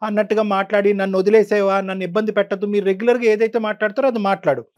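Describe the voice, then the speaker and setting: Fast-talking, emphatic woman counsellor. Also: medium pitch, level tone, darkish tone, highs cut off. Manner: emphatic, exhorting. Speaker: counsellor on a talk show. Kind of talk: forceful counselling advice.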